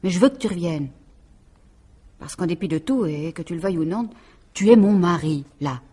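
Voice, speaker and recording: An estranged wife in a radio mystery drama, her voice low and husky. She is insistent and stubborn, moving between halting pleas and firm warnings. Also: expressive, mellow. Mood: insistent, fed up. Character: estranged wife, remorseful but stubborn, pleading then threatening.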